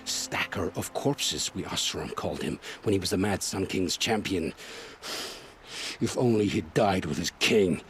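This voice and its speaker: A haunted, grim scavenger. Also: male voice, low-pitched, a little slow, slight background noise. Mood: hushed dread. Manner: intense, dramatic storytelling. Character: haunted male scavenger, middle-aged, wary and grim. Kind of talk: video game voice acting.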